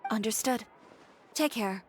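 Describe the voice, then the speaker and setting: A woman's voice: on the high side, measured pace, slight background noise, close to an everyday voice. A woman playing a proud, stubborn young fashion designer in a video game, composed, clipped and self-assured. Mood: restrained. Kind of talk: dramatic video game dialogue.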